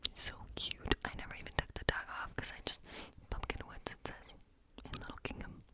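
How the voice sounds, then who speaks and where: A woman playing a hospitable, eccentric woodland witch in an ASMR role play, speaking in a breathy whisper with a slow, coaxing, reassuring, rambling manner. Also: natural voice. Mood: cozy, modest. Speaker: ASMR performer.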